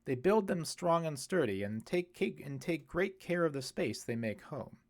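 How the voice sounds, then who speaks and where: A man voicing a kindly, musing priest in an audiobook, speaking in a reflective, explanatory way with gentle wit. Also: on the high side, expressive, moderate pace, pitched above the performer's own voice. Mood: gentle, informative. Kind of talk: audiobook character dialogue.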